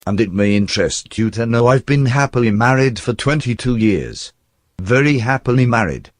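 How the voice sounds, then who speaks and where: A man's voice: medium pitch, expressive, moderate pace, no echo, studio quality, lightly acted. A man playing a pompous, nervous and irritable personnel examiner in a radio drama. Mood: irritated. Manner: officious, irritable, brusque.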